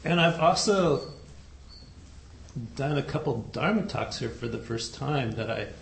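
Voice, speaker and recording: A soft-spoken man giving a dharma talk to a group, unhurried and hesitant, with many fillers. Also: medium pitch, expressive, gravelly, slight background noise. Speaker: dharma teacher. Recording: echoey room recording.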